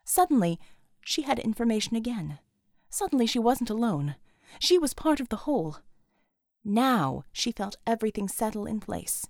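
A woman's voice: fairly high pitch, sing-song, normal speed, vocal fry, a little mellow, no echo, studio quality. A woman reading scripted action fiction as a dramatic audiobook narrator.

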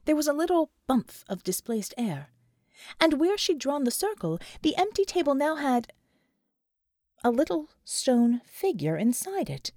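Female narrator for fiction audiobook: lively melodic range, dry studio-like sound, dramatic scripted read. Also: high voice, a little slow, dry recording.

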